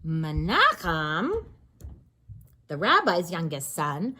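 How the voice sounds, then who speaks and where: Husky-voiced woman reading aloud, very expressive. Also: on the low side, slow. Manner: expressive, explains and asks questions to young listeners. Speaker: teacher reading a story to children. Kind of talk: animated read-aloud of a children's story with asides.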